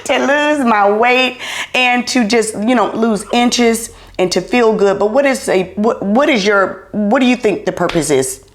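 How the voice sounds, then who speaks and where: A middle-aged woman hosting a podcast, excited and loud, repeating herself as she chats without her mic on. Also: on the high side, measured pace. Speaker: podcast host. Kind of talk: casual podcast chat. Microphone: not wearing her clip-on mic. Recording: roomy echo.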